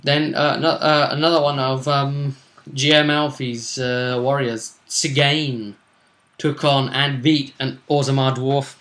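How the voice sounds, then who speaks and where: A man co-hosting a gaming vidcast, giving a casual, measured recap and commentary in slightly formal wording. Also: mid-range voice, level tone, fairly bright tone. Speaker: co-host of a tabletop roleplaying vidcast.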